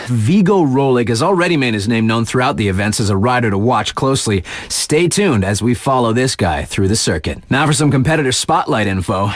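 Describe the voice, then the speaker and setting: A man's voice: mid-range voice, no room sound, close to an everyday voice. A man playing a hip, laid-back radio DJ in a video game, giving casual, slangy sports patter with playful conversational asides. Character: hip, laid-back male radio DJ covering snowboard races.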